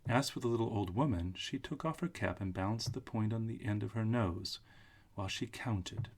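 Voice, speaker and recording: A man's voice: lowish voice, moderate pace, a little mellow, slightly rough. A man narrating a storybook aloud, reading straight from the text.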